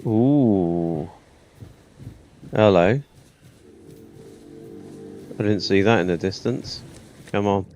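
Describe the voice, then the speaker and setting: A man's voice: on the low side, drawn-out words, pauses often, mellow, slight background noise. A man giving casual let's play commentary, muttering to himself as he goes through a game. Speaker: gamer commentating on a playthrough.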